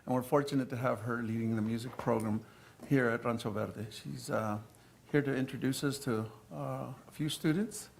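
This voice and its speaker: A man's voice: mid-range voice, normal speed, gravelly. A man, a school district official, introducing a presentation at a board meeting. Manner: relaxed, plain, with fillers. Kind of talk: formal meeting remarks.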